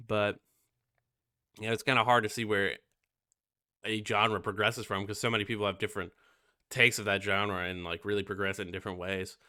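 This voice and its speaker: A man's voice: lowish voice, little pitch movement, fairly quick, pauses often, sharp tone, dry recording, studio quality. A young man with a stuffy, nasal voice from being sick, casually reviewing a metal album with lots of fillers. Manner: rambling, many fillers and swearing. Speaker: music reviewer.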